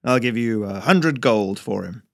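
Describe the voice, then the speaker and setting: A man's voice: mid-range voice, expressive, measured pace, vocal fry, no room sound, clean studio sound. A man voicing a pushy, contemptuous frontier trader with an in a story. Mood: appraising. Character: Thaddeus, brash spitting frontier trader with a weapon.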